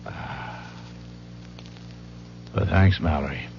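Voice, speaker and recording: An actor playing a sly, casual police chief giving orders in an old radio drama. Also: male voice, a bass voice, lively intonation, extremely slow, heavily acted. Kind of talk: 1970s radio drama acting. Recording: dull old radio recording, faint noise.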